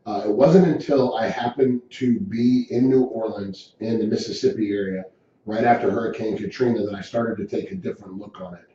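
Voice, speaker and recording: Low, mellow man heard from far off. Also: fairly even intonation, fairly quick, slight background noise. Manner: earnest, descriptive storyteller. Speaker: insurance agency owner, guest. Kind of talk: interview answers, storytelling. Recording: distant mic, very echoey room, dull.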